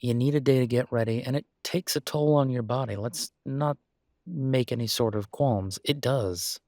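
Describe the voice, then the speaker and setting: A man's voice: mid-range voice, normal speed, a little mellow, no room sound, clean studio sound, natural voice. A man playing an easygoing, supportive boyfriend in an intimate one-sided roleplay audio, talking casually and reassuringly with folksy turns of phrase. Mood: reassuring, matter-of-fact.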